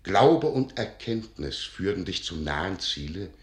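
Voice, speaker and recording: An actor playing an eccentric, imposing older archivist and secret magician in a radio play, theatrical, emphatic and solemn. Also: male voice, sits low, very animated, a little slow, roomy sound. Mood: solemn, commanding.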